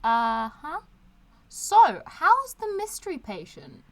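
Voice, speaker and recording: A woman's voice: high-pitched, very animated, slow pace, full character voice. A woman acting in an audio drama as a playful, teasing, breezy young woman chatting to friends. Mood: playful, eager. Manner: bubbly, teasing. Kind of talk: audio drama, podcast-style voice message.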